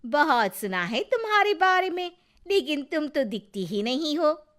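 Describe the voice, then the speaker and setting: A woman's voice: high-pitched, big pitch swings, a little slow, a bit bright, pitched above the performer's own voice, full character voice. A woman voicing a gossipy, bubbly young neighbour daughter-in-law in a Hindi moral story. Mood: friendly, curious.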